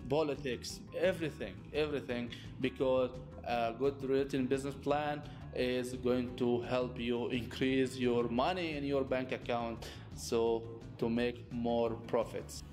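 A man in his thirties whose first language is Arabic, hosting a business masterclass, earnest and repeating his points, with small grammar slips. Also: mid-range voice. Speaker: business channel host. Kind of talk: presenting a masterclass to camera. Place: small office with lamps and chairs. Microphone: seated facing camera, medium shot.

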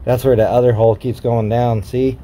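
A man exploring an abandoned barn, giving casual, relaxed commentary on an action camera. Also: medium pitch, brisk, noisy background. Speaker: explorer vlogger.

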